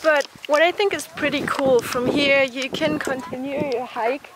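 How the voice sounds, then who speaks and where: A woman's voice: high-pitched, fairly even intonation, measured pace, heavy background noise. A woman in her thirties, chatting upbeat to a handheld camera on an outdoor mountain trail. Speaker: travel vlogger. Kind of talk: casual travel vlog. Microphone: camera at arm's length. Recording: music playing loud underneath.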